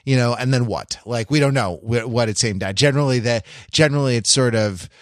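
A man co-hosting a podcast in casual analytical chat, halting and correcting himself as he thinks out loud. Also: medium pitch, dry recording, studio quality. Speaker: podcast co-host.

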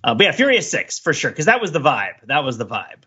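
A man's voice: a little high, sing-song, a little fast, pushed and bright, dull audio. A man co-hosting a podcast, casually discussing films with jokey mock-serious analysis. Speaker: podcast co-host.

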